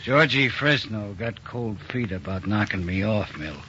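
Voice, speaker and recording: Actor as low-voiced, menacing gangster. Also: male voice, unhurried, natural voice. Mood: cold menace. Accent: American. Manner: curt, sardonic, threatening. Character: menacing, distrustful gangster husband. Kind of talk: 1940s radio crime drama acting. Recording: muffled, noisy old radio recording.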